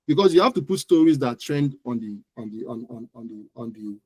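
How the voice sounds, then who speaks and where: A man's voice: medium pitch, lively intonation, fairly quick, darkish tone. A man with a Nigerian accent, an expert guest explaining informally and repeating phrases for emphasis. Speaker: guest expert, digital marketer. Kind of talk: informal explanatory interview talk.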